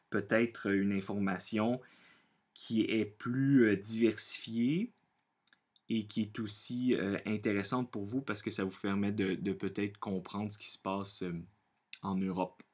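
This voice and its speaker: Low-voiced, halting Québécois man. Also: a little mellow, husky. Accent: Quebec French. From Quebec, Canada. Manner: halting, searching for words, repeating himself; earnest. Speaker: vlogger sharing personal opinions. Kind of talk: unscripted monologue to camera.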